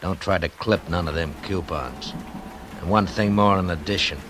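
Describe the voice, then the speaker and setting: An actor playing a sardonic, menacing ex-convict gangster with deliberate, mocking tough talk in a 1940s radio drama. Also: male voice, low-pitched, natural voice. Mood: quietly threatening. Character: sardonic, menacing male crook fresh out of prison. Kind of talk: 1940s radio noir acting. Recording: slightly noisy vintage radio recording.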